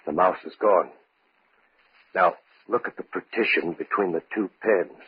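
Husky, grave scientist, old radio. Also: male voice, low voice, unhurried, heavily acted. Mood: hushed, grave. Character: grave male research scientist alarmed by his creation. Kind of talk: old-time radio drama acting. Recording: muffled, roomy vintage radio recording.

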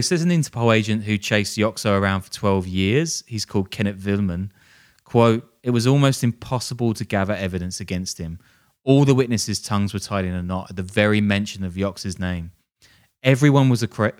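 A man's voice: on the low side, brisk, clean tone. A man hosting a podcast, telling a crime story casually with jokey, sardonic asides. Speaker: podcast host.

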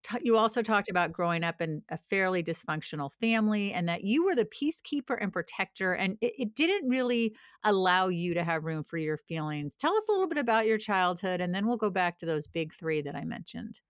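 A woman's voice: medium pitch, animated, fairly quick, few pauses, smooth, no room sound, clean studio sound. A woman hosting a health podcast, talking in an upbeat, enthusiastic way. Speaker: podcast host. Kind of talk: podcast interview and book promo.

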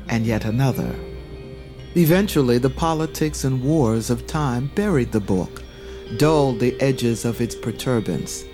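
A man narrating a fantasy audio drama in a measured, solemn storybook style. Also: mid-range voice, warm tone.

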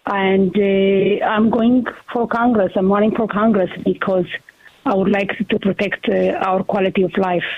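A woman in her forties from Ethiopia, a guest candidate speaking earnestly with many pauses and fillers. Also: mid-range voice, little pitch movement, no echo. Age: 40s. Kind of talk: phone interview on talk radio. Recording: tinny phone line.

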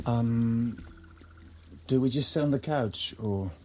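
An actor playing a wounded, self-conscious husband in an audio drama, stammering and hesitant with some resentment. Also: male voice, medium pitch, slow, warm tone, soft background music, natural voice. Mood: nervous. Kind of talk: naturalistic audio drama acting.

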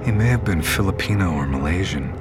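A tormented wanderer narrating his nightmare in an audio drama. His voice is extremely low, grave and brooding, set against loud music. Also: lively intonation, brisk. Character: tormented, parched man recounting a nightmarish journey.

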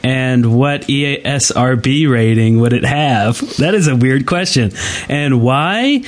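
A man's voice: mid-range voice, slow pace. A man co-hosting a podcast in loose, casual group conversation. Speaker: podcast co-host.

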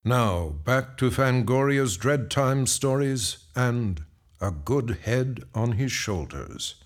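A man announcing the show with a slow, theatrical delivery. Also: medium pitch, expressive.

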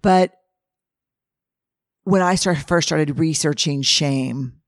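A hoarse middle-aged woman, hosting a podcast and telling stories candidly, acting out what people say. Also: low voice, slow, no room sound, clean studio sound. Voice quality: husky. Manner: candid, animated storytelling with acted-out quotes. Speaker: podcast host. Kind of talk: casual solo talk.

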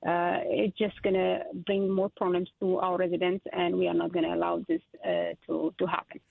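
A woman in her thirties, born in Ethiopia and raised in Israel, answering interview questions as a political candidate, with halting speech full of fillers and restarts. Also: lowish voice, little pitch movement, creaky, no echo. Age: 30s. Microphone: telephone. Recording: tinny phone line.

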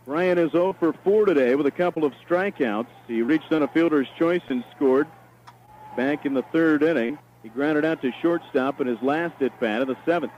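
A man's voice: a little high, normal speed. A man calling a baseball game play by play in live commentary style, on an old broadcast recording. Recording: noisy lo-fi archive game broadcast. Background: ballpark ambience.

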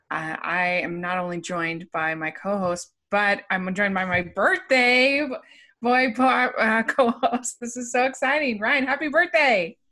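A woman's voice: medium pitch, a bit bright. A middle-aged woman hosting a podcast, chatty and laughing often, with many fillers. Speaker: podcast host. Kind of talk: casual chat.

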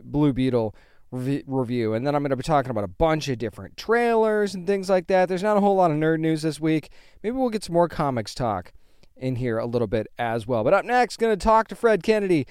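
A man's voice: a little high, a little mellow, clean tone, no room sound. Upbeat, dry-voiced male podcast host. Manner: chatty, enthusiastic, loose restarts. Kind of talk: casual podcast chat and interviewing.

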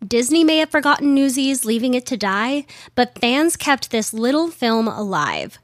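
A woman's voice: fairly high pitch, sing-song, measured pace, dry recording, studio quality. A woman hosting a casual history podcast, chatty with wry asides, her voice slightly worn from being a little sick. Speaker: podcast host.

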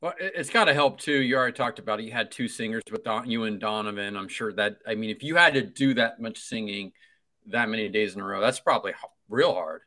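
A man's voice: on the low side, fast talker, sharp tone. A man co-hosting a casual podcast chat, joking and laughing often. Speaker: podcast co-host.

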